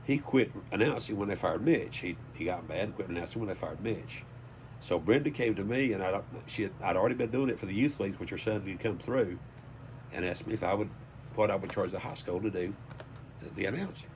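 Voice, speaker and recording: A man's voice: fairly low pitch, a little fast, slight background noise, rough recording. An older man with a Southern US accent, telling stories in a casual, folksy way as a sports announcer being interviewed. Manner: folksy, anecdotal. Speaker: high school sports PA announcer.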